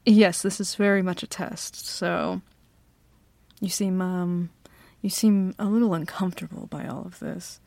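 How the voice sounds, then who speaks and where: A woman playing a slow, teasing bossy CEO. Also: on the low side, steady tone, creaky, no echo. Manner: slow, confident, teasing, with soft laughs. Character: bossy, teasing female CEO interviewing an applicant. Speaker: ASMR roleplay performer. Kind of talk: flirty ASMR roleplay.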